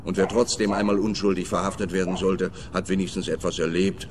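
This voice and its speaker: An actor playing a sardonic police officer who mocks the detective. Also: male voice, low voice, little pitch movement, fairly quick, a little hiss or hum, lightly acted. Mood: dry. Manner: sneering, sarcastic. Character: sardonic male police officer, middle-aged, mocking.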